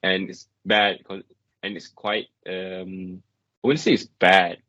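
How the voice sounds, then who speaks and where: A young man with a very deep voice answers at a steady pace, leaning on filler words as he reasons things out. The recording is dull and dry, with no room sound around him. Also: slow pace, dry recording. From Malaysia. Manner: thoughtful, many "like" fillers. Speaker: interviewee. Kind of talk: casual interview answer.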